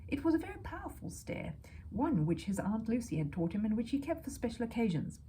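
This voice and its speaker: A woman reading a children's story aloud as an expressive narrator. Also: fairly high pitch, brisk, slight background noise, rough recording.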